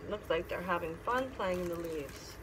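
A middle-aged woman reads a picture book aloud as a teacher, pausing to explain and ask simple questions. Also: lowish voice, animated. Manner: instructive, asking simple questions. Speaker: teacher reading to children. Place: classroom. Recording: clear background noise.

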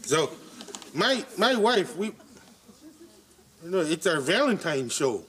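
A man's voice: fairly high pitch, big pitch swings, takes it slowly, a bit bright, full character voice. A man playing a bumbling, tipsy elderly children's storytime host in a comedy sketch, stammering and losing his thread. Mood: distracted, muttering. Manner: rambling, stammering, loses his thread, trails off. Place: living-room studio set with audience. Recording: noisy TV studio, audience behind.

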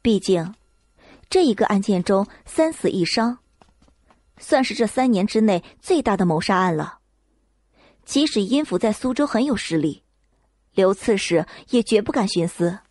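A woman's voice: medium pitch, animated, normal speed, long pauses, a little mellow, no echo. A woman narrating a scripted novel as an audiobook reader.

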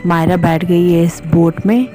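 A woman's voice: on the low side, fairly even intonation, measured pace, dark tone, soft background music. A woman narrating a casual vlog, explaining plainly what is being shown, like a tour guide. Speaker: vlogger narrating.

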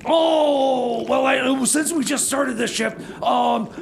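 A man playing a frazzled, excitable crew boss in comedy radio theater, stammering and repeating his words. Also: very high-pitched, takes it slowly, vocal fry, heavily acted. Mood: harried. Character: frazzled, excitable man bossing child workers. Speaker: radio comedy show host.

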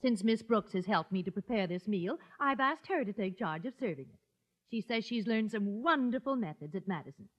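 An actress playing a fussy but firm middle-aged wife with an American accent, in 1950s radio sitcom style. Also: female voice, mid-range voice, lively intonation, brisk, close to an everyday voice. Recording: muffled vintage radio broadcast.

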